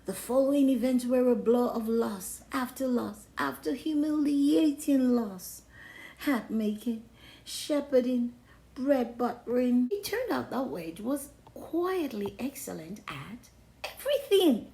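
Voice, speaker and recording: A woman plays a proud storybook wheel of cheddar with a high, swooping voice. The delivery is slow and theatrical, full of exaggerated drama. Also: expressive, creaky. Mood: indignant, dramatic. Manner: theatrical, exaggerated, wailing to hushed. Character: boastful, dramatic wheel of cheddar learning humility. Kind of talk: first-person children's storybook read-aloud.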